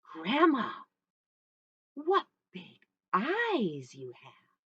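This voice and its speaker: A woman's voice: on the high side, wildly swooping pitch, extremely slow, pushed and bright, husky, no room sound, highs cut off, voice pushed up, exaggerated voice. A woman voicing a sweet, trusting little girl from a storybook in an earnest, innocent, sing-song way. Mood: innocent, curious.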